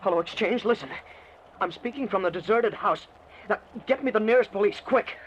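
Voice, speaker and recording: An actor playing a jittery stranded husband putting on bravado, stammering and excitable, in an old-time radio drama. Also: male voice, high voice, fairly quick, close to an everyday voice. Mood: frightened, urgent. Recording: muffled, noisy old radio recording.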